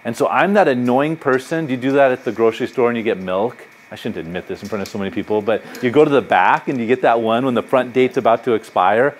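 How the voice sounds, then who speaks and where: A man's voice: mid-range voice, fast talker, hardly any pauses, slight background noise. A middle-aged man, a psychology professor, giving a public lecture in a university lecture hall with a conversational, anecdotal manner and lots of gesturing. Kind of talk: public lecture with audience questions.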